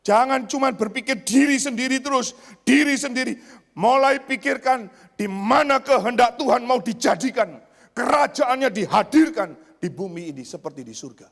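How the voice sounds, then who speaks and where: A high-pitched male pastor delivers a church sermon in a hall with long reverb. He repeats key phrases emphatically and puts rhetorical questions to the congregation. His delivery moves between raised exclamations and quieter asides. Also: expressive, normal speed.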